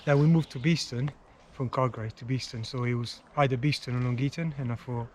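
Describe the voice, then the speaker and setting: A man from Italy with an Italian accent, a runner speaking in plain, halting English while out running on a park trail. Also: medium pitch, steady tone, darkish tone, a little hiss or hum. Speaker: club runner, guest.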